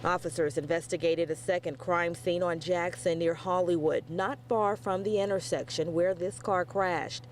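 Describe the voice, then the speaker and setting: Man, high pitch, news reporter, scripted narration, faint noise. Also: fairly even intonation, moderate pace, few pauses.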